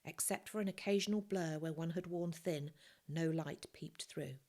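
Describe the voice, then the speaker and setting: A woman's voice: deep voice, moderate pace, a little mellow. A woman narrating a children's novel as a scripted reading.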